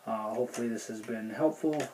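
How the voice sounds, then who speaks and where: A man's voice: fairly low pitch, quick pace, mellow, slight background noise, low-quality recording. A man giving a casual, unscripted product review in a plainspoken, folksy, rambling way. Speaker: gear review video host.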